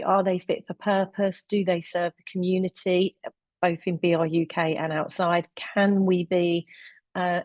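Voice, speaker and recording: A woman's voice: on the low side, darkish tone, dry recording. London woman presenting a webinar. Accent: London English. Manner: earnest, with frequent ums and uhs and slide cues. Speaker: deputy director presenting a webinar.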